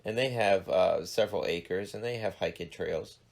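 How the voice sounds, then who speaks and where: A man's voice: low-pitched, moderate pace, vocal fry. A man in his forties hosting a casual podcast chat, a bit nasal from allergies, joking and self-deprecating. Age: 40s. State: stuffed up, slightly nasal from allergies. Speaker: podcast host.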